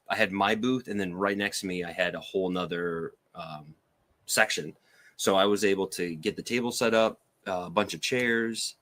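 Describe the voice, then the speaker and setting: A man's voice: low voice, a bit bright. A man on a podcast panel talking casually in short, informal phrases. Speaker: podcast panelist, toy sculptor. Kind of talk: casual chat.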